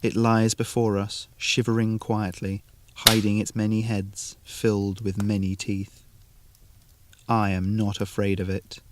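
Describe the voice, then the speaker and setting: A man reading an atmospheric short story in a deliberate, measured, literary manner. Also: lowish voice, flat delivery, slight background noise. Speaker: narrator.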